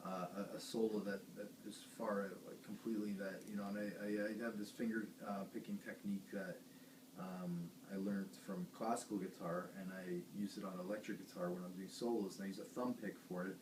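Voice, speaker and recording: A man's voice: on the low side, fairly even intonation, fairly quick. A man who plays guitar in a band, chatting casually in a rambling, hesitant way. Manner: rambling, hesitant, lots of fillers and restarts. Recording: echoey room, distant mic, faint hiss.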